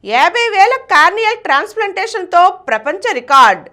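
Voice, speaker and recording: A woman's voice: very high voice, big pitch swings, brisk, pushed and bright. A woman news reader delivering a scripted bulletin in a formal, emphatic manner.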